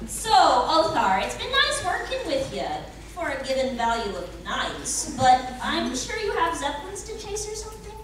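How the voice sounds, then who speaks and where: A woman's voice: sits high, sing-song, normal speed, few pauses. A woman playing a sarcastic, easily startled young heroine in a comic radio play. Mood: wry, sarcastic. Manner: wry, exclaiming. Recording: very echoey hall from far off.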